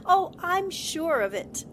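A woman's voice: very high-pitched, fairly bright tone, a little hiss or hum, full character voice. A woman playing a sensible, polite newspaperwoman with a composed, dry manner in a 1940s-style radio drama.

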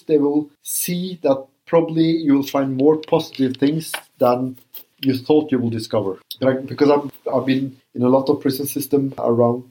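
A man's voice: medium pitch, warm tone, poor audio. A middle-aged man from Norway, a former prison governor answering interview questions earnestly, with frequent restarts and fillers.

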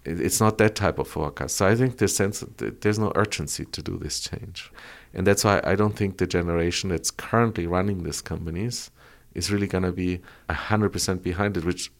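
A middle-aged man from Colombia talks as a podcast guest. His voice sits low and has a gravelly, creaky edge. He explains in long, patient runs and keeps asking "right?" to check the listener is with him. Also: fairly quick, hardly any pauses. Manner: explanatory, frequent tag "right?". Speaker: guest, former auto executive. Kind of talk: podcast interview.

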